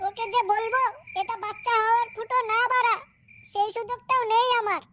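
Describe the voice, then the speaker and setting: A woman's voice: extremely high pitch, steady tone, fairly quick, pushed and bright, a little hiss or hum. A woman voicing a plaintive, blunt, crude married woman in a comic cartoon. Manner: whiny, complaining, crude.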